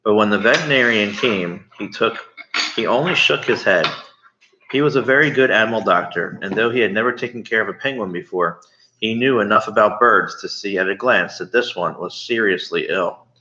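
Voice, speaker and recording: A man reading a storybook aloud, pausing to explain things and ask questions as he goes. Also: fairly low pitch, sharp tone, slight background noise. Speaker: read-aloud storyteller to children. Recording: slightly muffled, echoey room.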